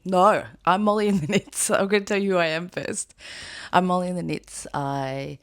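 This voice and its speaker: A woman with a raspy, hoarse voice, chatting casually in a rambling vlog with fillers and laughs. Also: fairly low pitch, fairly even intonation, normal speed, no room sound, studio quality. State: hoarse after an event. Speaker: knitwear designer vlogger. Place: outdoors with birds nearby.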